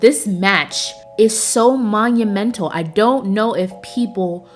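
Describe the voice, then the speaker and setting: An excitable woman recaps the week's wrestling in a loose, chatty way, doubling up words when she gets fired up. Her voice sits at an everyday middle pitch, with soft music playing quietly behind her. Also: takes it slowly. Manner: enthusiastic, emphatic, repeats words for emphasis; casual slangy phrasing. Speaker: wrestling review show host. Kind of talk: casual recap and commentary.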